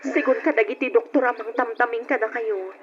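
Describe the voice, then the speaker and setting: Actress as a high-voiced earnest young daughter-in-law. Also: female voice, a little fast, a crowd in the background, lightly acted. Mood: concerned. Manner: earnest, expressive. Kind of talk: old-time radio drama acting. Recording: dull, noisy old radio recording.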